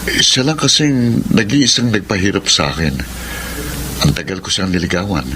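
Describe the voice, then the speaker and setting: An actor playing an earnest, persuasive young suitor and former playboy in a dramatic radio romance. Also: male voice, sits low, sing-song, moderate pace, background noise. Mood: earnest, confessing. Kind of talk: Tagalog radio drama acting. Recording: radio drama with loud background music. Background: loud music.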